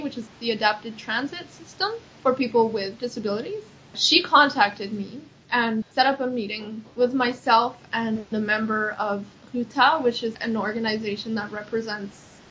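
A young woman talks through her activism in a casual, earnest way. Her voice sits a touch high and her speech is loose and full of "like." The recording sounds a little dull and lo-fi. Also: fairly bright tone. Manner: earnest, chatty, frequent "like" fillers. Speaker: accessibility activist. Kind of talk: casual interview answers.

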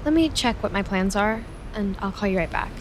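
An actress playing an intense, lonely young woman in an audio drama, dramatic and deliberate, with a dark, needy edge. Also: mid-range voice, animated, slight background noise, lightly acted. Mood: eager, awkward. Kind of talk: audio drama acting with confiding inner monologue. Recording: music behind the voice.

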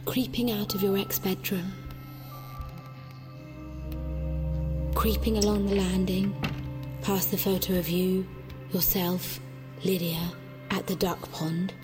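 Actress doing a creepy controlling head voice, strict and hushed, bossy threats, music underneath. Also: female voice, medium pitch, little pitch movement, natural voice. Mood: hushed, commanding. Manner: commanding, hushed, slow deliberate threats dropping to whisper. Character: controlling inner voice in a woman's head, strict, menacing, manipulative. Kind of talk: audio drama acting.

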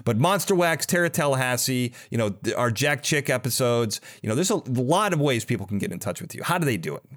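Man, podcast host, average pitch, chatty, effusive, plugging his show. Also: lively intonation, a little fast. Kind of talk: chatty interview and sign-off plugs.

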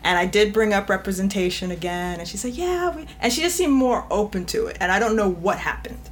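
A woman's voice: medium pitch, animated, brisk, a little hiss or hum. A woman from New York, a guest editor talking directly in a casual podcast chat and laughing often as she speaks.

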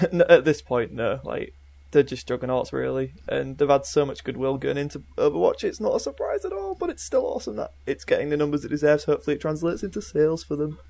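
A man's voice: a little high, animated, fast, vocal fry, warm tone. A man talking keenly and casually as co-host of a video game podcast.

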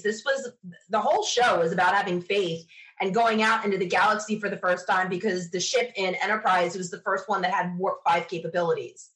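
Woman from the us, podcast guest, chatty and into it, medium pitch, telling stories casually. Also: fairly bright tone, rough recording. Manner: chatty, enthusiastic storytelling. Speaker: interview guest, sci-fi podcaster. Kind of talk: casual interview chat, animated anecdotes.

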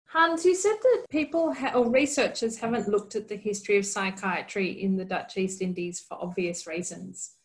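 A woman hosting a podcast, reading a formal introduction and asking interview questions in a clear, measured way. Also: mid-range voice. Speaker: podcast host.

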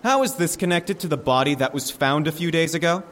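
A pushy reporter, slightly high male voice, big reverby room, audio drama. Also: expressive, a little fast, fairly bright tone. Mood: insistent. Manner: insistent, probing. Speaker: reporter at press conference. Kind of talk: full-cast audio drama.

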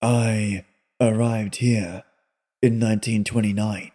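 A man reading aloud and voicing a sullen, weary young drifter who speaks in a low, rustic way. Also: fairly even intonation, slow pace, dark tone. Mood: weary, somber. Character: sullen young male drifter, weary beyond his years.

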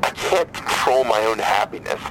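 A man playing a grieving, despairing father calling a crisis line in an audio drama, halting, weary and bitter. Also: fairly low pitch, animated, background noise, close to an everyday voice. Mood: bitter, skeptical. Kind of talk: naturalistic audio drama acting.

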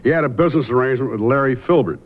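An actor playing a young, shrewd investigator in a radio mystery, halting and deliberate as he narrates and probes. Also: medium pitch, a little hiss or hum, lightly acted. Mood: hesitant. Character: young male investigator, shrewd, hesitant, narrating his case. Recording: muffled vintage radio recording, music behind.

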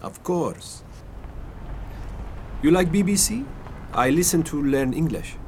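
An actor playing a curious, blunt Chinese taxi driver in an audio drama. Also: male voice, a little high, expressive, takes it slowly. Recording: noisy car interior ambience. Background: vehicle noise.

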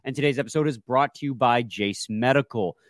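A man's voice: medium pitch, fairly quick, dry recording, studio quality. A man with a stuffy, congested voice, hosting a casual sports podcast with laid-back, joking banter. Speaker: podcast co-host.

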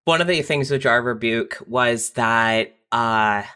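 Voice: mid-range voice, slow, sharp tone, clean tone. A podcast guest who writes audio fiction, chatting casually and candidly in an informal, self-deprecating way. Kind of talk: casual personal chat.

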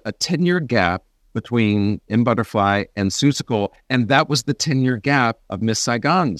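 A man's voice: medium pitch, dry recording. Conversational man hosting, dry close sound. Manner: sympathetic, chatty. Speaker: podcast host, interviewer. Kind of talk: conversational interview.

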